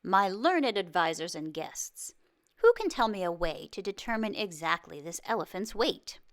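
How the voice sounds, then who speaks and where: Woman playing an amused, stately prime minister, very expressive. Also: lowish voice, moderate pace. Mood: challenging. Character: amused, commanding prime minister, proud father. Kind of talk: storybook read-aloud.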